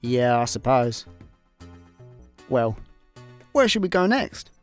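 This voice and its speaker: A man's voice: mid-range voice, slow pace. A man with a Scottish accent acting a dry, resigned space layabout in a comic radio play. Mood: idle, flat. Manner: deadpan. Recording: music behind the voice.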